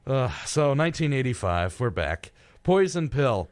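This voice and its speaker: A man's voice: mid-range voice, very animated, a little slow, no room sound. A young man hosting a radio show, chatting casually and reading out facts in an informal, wry way. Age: young adult.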